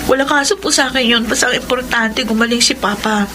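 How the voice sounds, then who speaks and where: A woman playing a sweet, excitable young daughter in a Filipino radio drama, with a Filipino accent and a bubbly, emotive, girlish manner. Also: on the high side, quick pace, lightly acted. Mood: concerned, earnest. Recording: noisy radio drama audio.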